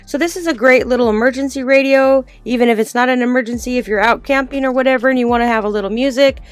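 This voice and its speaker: A woman's voice: on the high side, fairly even intonation, fast talker, clean tone, dry recording, faint music behind. A woman giving a casual product review and demonstration, explaining things informally and step by step.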